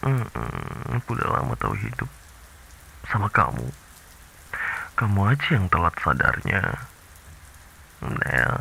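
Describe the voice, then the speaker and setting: A man voicing an obsessive young man who secretly haunts a listener's bedroom, speaking softly in a hushed, cooing way with low chuckles in an ASMR roleplay. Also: very animated, measured pace, creaky, long pauses, breathy edge, exaggerated voice, rough recording. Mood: creepy tender.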